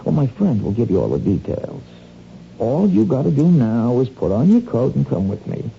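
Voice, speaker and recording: An actor playing a sly small-time crook in a radio drama, wheedling and insinuating in folksy, slangy talk. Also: male voice, mid-range voice, big pitch swings, moderate pace, gravelly. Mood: coaxing, sly. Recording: dull, noisy lo-fi radio recording.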